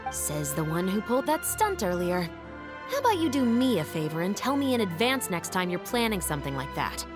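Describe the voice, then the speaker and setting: A woman's voice: medium pitch, sing-song, a little fast, lightly acted. A woman voicing a stern but good-humored police officer in a video game, with an official, wry manner. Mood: wry, exasperated. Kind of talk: scripted video game voice acting. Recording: background music under the dialogue.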